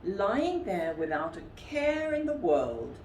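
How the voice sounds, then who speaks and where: A woman reading a children's story aloud expressively, with theatrical, deliberate emphasis. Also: mid-range voice, huge pitch swings, a little mellow. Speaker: storytime reader. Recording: roomy echo with faint background noise.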